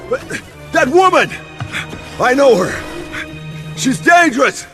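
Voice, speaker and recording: An actor playing an unhinged, confused and paranoid video game villain who stammers, mutters and barks frantically. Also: male voice, very high voice, sing-song, takes it slowly, a bit bright, soft background music, exaggerated voice. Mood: frantic, paranoid. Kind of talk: theatrical video game acting.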